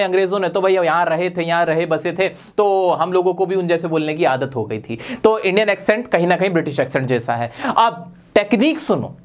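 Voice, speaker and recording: A man teaching spoken English in an energetic online lesson, emphatic and full of rhetorical questions aimed straight at viewers. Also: sits high.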